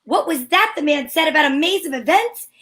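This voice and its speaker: A woman's voice: sits high, lively intonation, a little fast, a bit bright. A woman playing a breezy female private investigator in an amateur reading of an old-time radio play. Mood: wry narration.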